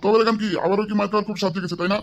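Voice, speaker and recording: A man's voice: sits high, steady tone, racing, highs cut off, very noisy. A man voicing a jealous, quarrelsome old village husband, nagging and blustering in an exaggerated comic Bengali drama style. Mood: accusing.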